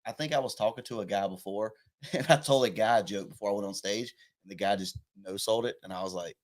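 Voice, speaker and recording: Lower-pitched guy in his twenties, cracks himself up telling stand-up stories on a podcast. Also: fast, dry recording. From South Carolina. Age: 20s. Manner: self-deprecating, laughing storyteller. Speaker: guest comedian. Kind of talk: casual podcast chat.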